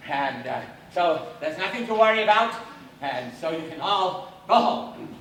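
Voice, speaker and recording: A man's voice: a little high, animated, unhurried, fairly bright tone, slight background noise. An actor playing a flustered, bossy palace doorman who stammers and backpedals, in 1930s film musical style. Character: flustered, blustering male palace doorman, middle-aged. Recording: roomy old 1930s film soundtrack from far off, music behind.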